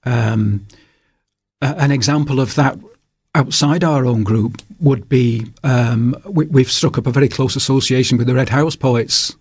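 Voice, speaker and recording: An older man with a Manchester accent is interviewed as a guest author in a radio studio. His mid-pitched voice sounds dry and close, with no room echo. He talks in a relaxed, rambling way, often pausing on fillers. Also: breathy edge. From England.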